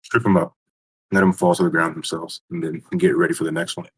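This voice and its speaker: A man's voice: low voice, flat delivery, a little fast, slightly rough, poor audio. A man casually stating his moves as a player in a tabletop role-playing game.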